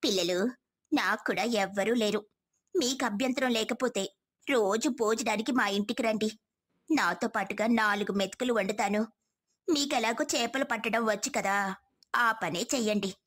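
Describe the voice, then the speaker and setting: Lady playing kind village auntie, motherly and reassuring, storybook tale, highish voice. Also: normal speed, pauses often, a bit bright. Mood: caring, insistent. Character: kind motherly village woman caring for orphans. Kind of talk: moral story character voice.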